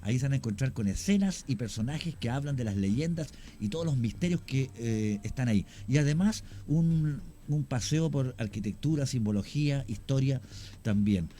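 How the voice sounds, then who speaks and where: Chilean man, radio chat, mellow voice. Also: medium pitch, moderate pace. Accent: Chilean Spanish. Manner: storytelling, animated with hesitations. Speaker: guest, cemetery tour guide and actor. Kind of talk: casual radio conversation. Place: radio studio.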